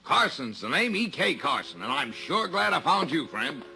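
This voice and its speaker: A man's voice: on the high side, big pitch swings, normal speed, creaky, bright, forceful tone, slight background noise, exaggerated voice. An actor playing a lonely, overfriendly traveling salesman, folksy and pushy, in a 1950s radio drama. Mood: chummy.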